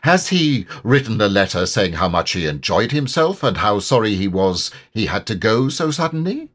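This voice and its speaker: A man's voice: fairly low pitch, sing-song, normal speed. A man voicing a self-important, fussy rabbit from a storybook, speaking with pompous deliberation.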